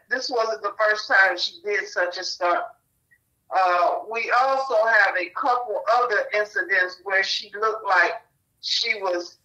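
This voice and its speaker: A woman co-host reading a news report aloud, halting and stumbling over names, on a muffled line. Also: medium pitch, pushed and bright.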